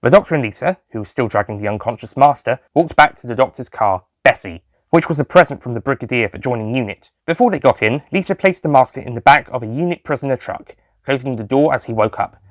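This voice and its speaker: A man's voice: mid-range voice, animated, quick pace, no room sound. A man reading scripted narration for an audio story as its narrator. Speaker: writer and narrator.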